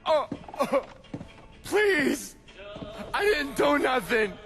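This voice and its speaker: A man's voice: very high voice, very animated, very slow, a bit bright, faint music behind, full character voice. An actor playing a terrified young mob henchman in a video game, stammering, swearing and pleading.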